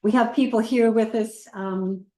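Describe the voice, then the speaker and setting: A woman moderating a community panel, welcoming a live audience in a gentle, measured and slightly hesitant way. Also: fairly high pitch, steady tone. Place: community meeting room with a seated circle. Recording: roomy room sound.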